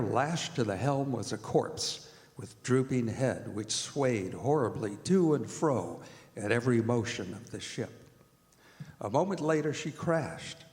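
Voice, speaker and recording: A man acting as a solemn storyteller, narrating an old-time radio drama in deliberate, suspenseful phrases. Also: medium pitch, darkish tone. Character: solemn storyteller reporting eerie events. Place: performing arts theatre stage. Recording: long reverb of a stage hall.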